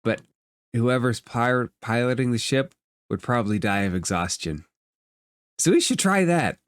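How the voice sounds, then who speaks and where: A man voicing a squawky, mercenary bird-like merchant creature in a tabletop game, squeaky and eager to wheedle. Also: expressive, unhurried, dry recording, clean studio sound, lightly acted. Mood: scheming, excitable.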